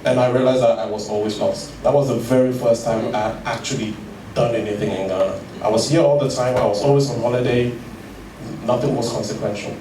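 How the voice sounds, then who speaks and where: A man recounts his story hesitantly, pausing often and restarting his sentences. He is recorded from some distance in an echoey room with clear background noise. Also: mid-range voice, fairly even intonation. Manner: hesitant, many fillers and restarts. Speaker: guest, tech founder. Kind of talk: conversational interview.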